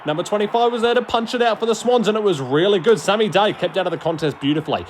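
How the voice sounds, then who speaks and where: A man giving excitable, colloquial play-by-play sports commentary in a blunt manner, often answering his own questions. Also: high voice, fast talker. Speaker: amateur football commentator. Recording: loud crowd noise behind the voice. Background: stadium crowd ambience.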